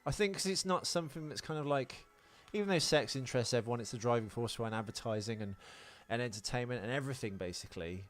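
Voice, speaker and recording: A man's voice: mid-range voice, quick pace, few pauses, soft background music. A man co-hosting a casual podcast, chatting off the cuff in a sweary, self-deprecating, rambling way. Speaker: podcast co-host. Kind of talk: casual unscripted podcast banter.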